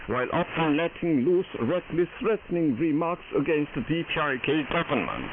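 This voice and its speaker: A man with a fairly high-set voice reads a formal propaganda newscast. His delivery is solemn, stiff and deliberate. It comes through a thin, narrowband shortwave radio signal with faint static. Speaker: news announcer. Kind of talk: formal scripted propaganda news reading.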